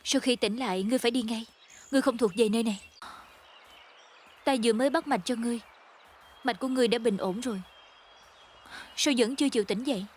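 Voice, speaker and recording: A woman playing a young healer from a secret tribe in a dubbed fantasy costume drama, caring but quick to scold. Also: a little high, darkish tone, slight background noise, lightly acted. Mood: brisk concern.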